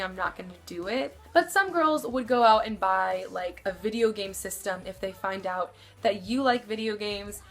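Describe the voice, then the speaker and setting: A young woman with a somewhat deep voice, giving casual advice to her camera and rambling with lots of fillers. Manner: chatty, rambling, many fillers. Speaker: vlogger.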